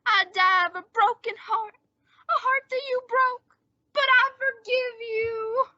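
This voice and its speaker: Girl doing a super high lovesick teen boy, slow dramatic boasting type, old radio comedy, kinda muffled sound. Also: fairly even intonation, sharp tone, no room sound, exaggerated voice. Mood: mock-dying, self-pitying. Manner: drawn-out mock-dying groans and boyish bluster. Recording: dull, band-limited dry audio.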